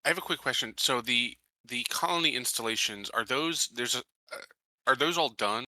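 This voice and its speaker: A man with a very bright, sharp voice chats as a player in a tabletop game. He is casual and tentative, questioning rules, recorded dry. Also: medium pitch, normal speed, no room sound, low-quality recording.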